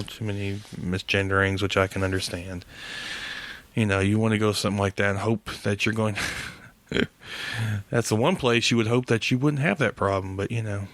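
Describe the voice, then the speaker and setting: A man's voice: on the low side, a bit bright, no echo. A man hosting a podcast solo, chatting casually in a rambling, hesitant, self-deprecating way with some swearing. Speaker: podcast host.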